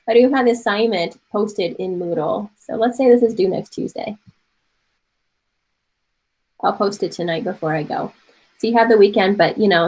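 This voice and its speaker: Woman in her 40s from Taiwan, native Mandarin speaker, slightly husky voice, chatty encouraging art teacher on a webcam call from home. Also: fairly high pitch, a little mellow, rough recording. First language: Mandarin Chinese. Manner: chatty and encouraging, laughs often, thinks aloud. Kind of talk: casual online class instruction. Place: home room with bookshelves. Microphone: webcam on a video call.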